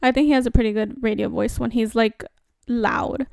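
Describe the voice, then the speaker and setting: A woman with a high voice chats casually on a podcast. She is animated and talkative, and laughs easily. The recording is dry and close, with no room echo. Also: normal speed, creaky. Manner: chatty, animated, laughs often. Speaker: podcast co-host. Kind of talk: casual chat.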